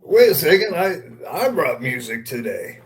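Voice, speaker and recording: Male podcast co-host, somewhat high voice, loose and sweary casual talk. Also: very animated, moderate pace, crowd noise. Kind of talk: casual chat.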